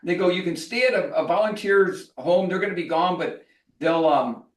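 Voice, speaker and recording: A man's voice: sits high, normal speed. A man co-hosting a podcast, telling an anecdote in a casual chat, laughing often as he goes. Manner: animated storyteller who laughs often. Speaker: podcast co-host, storyteller. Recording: roomy sound, distant from the mic.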